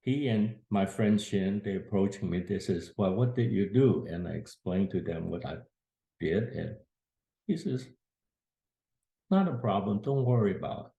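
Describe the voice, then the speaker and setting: Man in his eighties, storyteller, earnest, low voice, unhurried, echoey room. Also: lively intonation, gravelly, dark tone. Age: 80s. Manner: slow, plain-spoken, earnest storyteller. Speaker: grandfather telling a family story. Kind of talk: casual spoken memoir.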